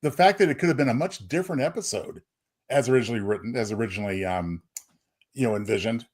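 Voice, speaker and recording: A man's voice: mid-range voice, fast, a little mellow. A man co-hosting a podcast, giving casual review commentary. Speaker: podcast co-host.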